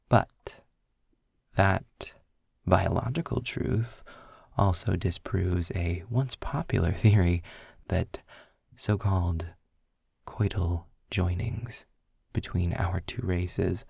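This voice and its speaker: A man playing a sarcastic, worldly demon mentor in an intimate audio roleplay, with dry, deliberate phrasing and a low, husky, gravelly voice. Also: darkish tone, dry recording, full character voice. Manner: dry, sardonic, breathy asides, deliberate phrasing. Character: sarcastic, snarky adult male demon mentor, worldly and proud. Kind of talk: intimate audio roleplay acting, addressed to the listener.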